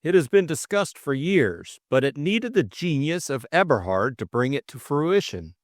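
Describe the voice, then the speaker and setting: Man as higher-pitched cynical aviator conspirator. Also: very animated, moderate pace, no room sound, clean studio sound. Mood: confident. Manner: cynical, emphatic.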